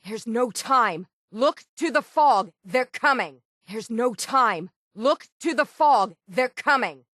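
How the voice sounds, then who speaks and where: A woman playing a gruff, world-weary, sardonic island sailor in a video game, with salty, plainspoken folksy phrasing. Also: on the high side, lively intonation, slow pace, a bit bright, no echo, clean studio sound. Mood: urgent, shouting. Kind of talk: video game character dialogue.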